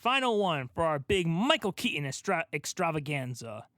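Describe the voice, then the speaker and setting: High-voiced man, casual podcast host. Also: sing-song, moderate pace, fairly bright tone, dry recording, clean studio sound. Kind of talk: casual chat.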